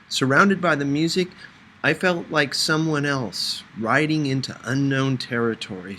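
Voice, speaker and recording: Male audiobook narrator, medium pitch, first-person literary fiction, slightly noisy recording. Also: fairly bright tone. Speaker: audiobook reader.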